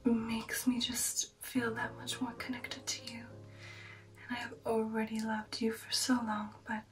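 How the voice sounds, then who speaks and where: A woman plays a refined lady vampire in an ASMR roleplay, whispering slowly and intimately in a breathy, fairly high voice. Her speech is genteel and affectionate. The voice sits a little way from the mic, with music underneath. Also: completely flat delivery, far from the mic, natural voice. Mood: sentimental, tender. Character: refined, affectionate female vampire recounting an old shipboard romance. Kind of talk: ASMR roleplay, personal attention.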